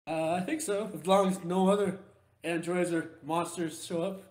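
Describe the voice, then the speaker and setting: A man's voice: sits high, fairly even intonation, a little mellow, voice pushed up, close to an everyday voice. Man playing stressed spacewoman in an echoey room. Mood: hesitant, friendly. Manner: halting, hesitant. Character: stressed, apologetic, hesitant female spaceship crew member. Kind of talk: in-character tabletop roleplay.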